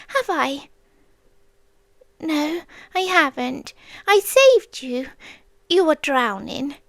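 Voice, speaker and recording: A British woman voicing a possessive, childlike female sea demon in an ASMR roleplay, tender and eerie, with soft breathy speech that often drops to a whisper and slow, coaxing pauses. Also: sits high, no room sound. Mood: tender, wondering.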